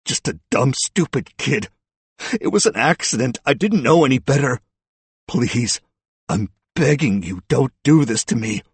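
A man's voice: mid-range voice, very animated, normal speed, vocal fry, husky, no room sound, pitched above the performer's own voice, exaggerated voice. A man voicing a blustering, cornered middle-aged man who turns from indignation to begging, in an audiobook story. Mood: desperate pleading. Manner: loud, blustering, breaking into begging.

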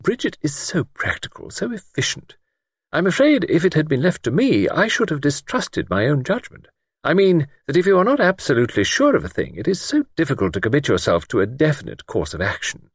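A genteel elderly spinster, acted by a male narrator, in a high, lilting voice. She is prim and proper, anxious and hesitant, choosing her formal words with care, as in a storybook. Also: wildly swooping pitch, normal speed, breathy edge, no room sound, clean studio sound, heavily acted. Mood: gently admiring. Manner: prim, careful, formal phrasing. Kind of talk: audiobook character voice.

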